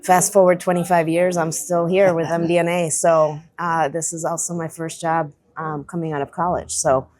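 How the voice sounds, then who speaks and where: A woman's voice: low-pitched, little pitch movement, normal speed, hardly any pauses, darkish tone. A woman in her forties, a guest on a trade show podcast, talking thoughtfully in a casual interview and often restarting her sentences. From Chicago area, US.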